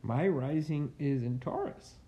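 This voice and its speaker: A woman's voice: very deep voice, expressive, measured pace, warm tone. A woman in her twenties chatting casually with a friend, laughing often and swearing freely. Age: 20s. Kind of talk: casual chat between friends.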